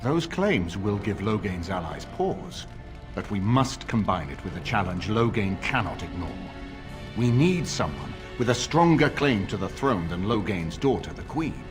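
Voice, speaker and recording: An actor playing a grave, middle-aged nobleman in a fantasy game, speaking formally and with measured authority. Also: fairly low pitch, big pitch swings, music in the background. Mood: grave, resolute. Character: grave older nobleman lord rallying allies against a usurper. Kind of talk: video game voice acting, dramatic dialogue.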